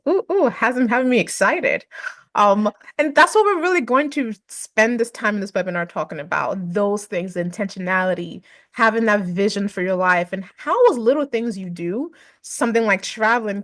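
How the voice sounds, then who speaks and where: A woman hosting a webinar Q&A in an upbeat, affirming manner. Her voice sits at a middle pitch. The sound is dry and close, with no room echo. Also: fairly bright tone. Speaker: webinar host. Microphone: video call.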